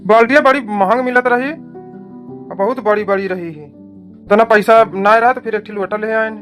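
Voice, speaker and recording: A man's voice: sits high, normal speed, a bit bright, soft background music. A man voicing a weary, dutiful village son and husband who explains himself plainly in an Awadhi cartoon comedy, with an Awadhi accent. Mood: defensive.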